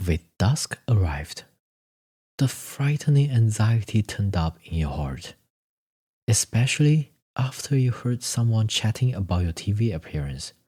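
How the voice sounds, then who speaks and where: A man narrating a scripted second-person story in measured, deliberate phrases. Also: on the low side, lively intonation, dark tone, slightly rough.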